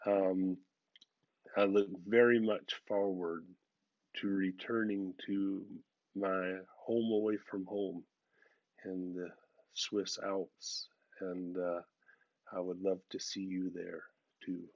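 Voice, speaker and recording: A middle-aged man, a faculty member, giving a relaxed webcam invitation full of "um"s and "uh"s. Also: sits low, slow pace, pauses often, no echo. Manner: relaxed, with many "um"s and "uh"s. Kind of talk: recorded video invitation. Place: room at home with a large painting. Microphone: video call webcam. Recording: dry, slightly dull sound.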